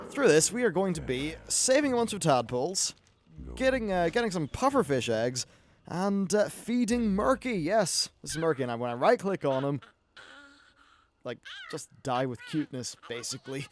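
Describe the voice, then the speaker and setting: A man's voice: fairly high pitch, slight background noise. A man giving casual, off-the-cuff video game commentary as host, chatty and wry, with some swearing.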